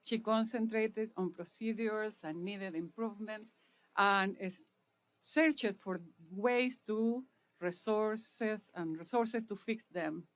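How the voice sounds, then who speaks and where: Middle-aged Chilean woman, Spanish native, formal ceremony speaker, deliberate phrasing, mid-pitched. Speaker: speaker at an inauguration. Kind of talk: formal prepared speech.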